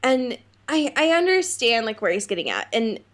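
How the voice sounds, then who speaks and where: A woman's voice: on the high side, sing-song, moderate pace, a bit bright. A woman co-hosting a podcast, chatting casually about a book and acting out quotes with informal asides. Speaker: podcast co-host. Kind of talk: casual book discussion chat.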